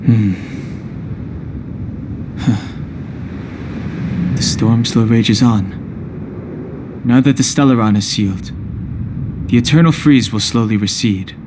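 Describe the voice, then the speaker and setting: An actor playing a calm, logical, reserved young man in a video game cutscene, measured and matter-of-fact. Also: fairly low pitch, noisy background. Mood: calm, pensive. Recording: orchestral music behind the voice.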